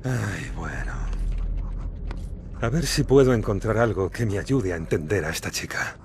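An actor with a Castilian Spanish accent playing a gruff, sardonic middle-aged ghost detective in a video game, blunt in manner. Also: male voice, on the low side, lively intonation, a little slow, a little hiss or hum. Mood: wry. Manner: blunt, swearing. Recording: music under the dialogue.

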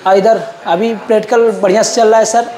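Even-toned young man in an echoey room. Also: high voice, fairly quick. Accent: eastern Uttar Pradesh. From eastern Uttar Pradesh, India. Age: young adult. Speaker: technical trainee. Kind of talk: student testimonial, answering an interviewer. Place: appliance workshop indoors. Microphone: clip-on lapel mic.

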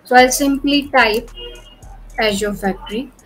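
A woman's voice: fairly high pitch, a little slow, faint music behind, low-quality recording. Woman explaining cloud steps, filler-heavy. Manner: frequent "okay" and "you know" fillers, patient explaining. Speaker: cloud tutorial presenter. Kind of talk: screen-share technical walkthrough.

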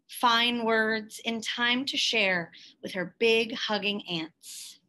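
A woman reading a picture book aloud, with careful, expressive storytelling phrasing. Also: on the high side, rough recording. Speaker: storybook reader.

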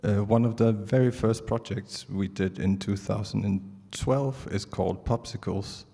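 Conference speaker: Danish man, middle-aged, mellow and gravelly, halting delivery into a handheld mic in a reverberant auditorium. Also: on the low side, steady tone. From Denmark. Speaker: artist presenting at a conference. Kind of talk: prepared stage presentation. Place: large indoor theatre stage. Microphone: handheld microphone.

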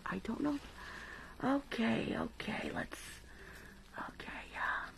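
A woman's voice: fairly high pitch, very animated, a bit bright, poor audio. Whispering woman in dentist ASMR roleplay. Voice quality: breathy whisper with creaky edges. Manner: halting, repetitive, dismissive reassurance. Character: rude, shady, dismissive woman dentist.

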